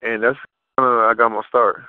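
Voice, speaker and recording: A man's voice: mid-range voice, flat delivery, a little fast, dry recording. A man giving a casual interview as a guest over the phone, talking informally with slang and rephrasing himself as he goes. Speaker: interview guest, fashion model. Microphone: telephone. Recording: muffled narrow phone line.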